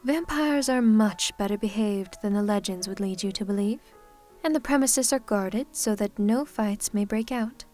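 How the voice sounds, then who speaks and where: A woman playing a gracious, commanding, centuries-old vampire hostess in an ASMR roleplay, speaking formally with gentle teasing. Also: medium pitch, normal speed, faint music behind, natural voice. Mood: reassuring, poised.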